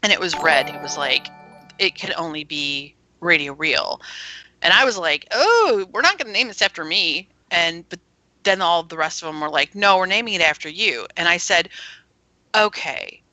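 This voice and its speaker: Bright, low-voiced woman chatting in interview. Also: expressive, moderate pace, dry recording, soft background music, low-quality recording. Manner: explanatory, anecdotal. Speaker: guest, founder of an internet radio station. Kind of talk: casual interview chat.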